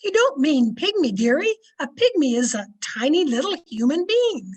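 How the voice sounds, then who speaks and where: A woman playing a patient, sensible, affectionate American wife in an old-time radio comedy, gently correcting with endearments. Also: sits high, sing-song, moderate pace. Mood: gently reasoning. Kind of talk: 1940s radio comedy acting.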